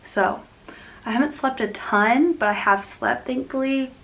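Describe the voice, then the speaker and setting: A woman's voice: high-pitched, a little slow, gravelly, fairly bright tone. A young woman hosting a casual plant vlog, sniffly and congested from being sick, rambling and laughing often as she talks. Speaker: plant channel host.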